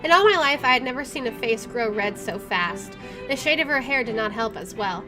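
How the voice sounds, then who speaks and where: A woman's voice: a little high, expressive, fairly quick, rough recording. A woman doing a game playthrough, reading story text aloud and adding casual commentary. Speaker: gaming streamer. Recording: game music behind the voice.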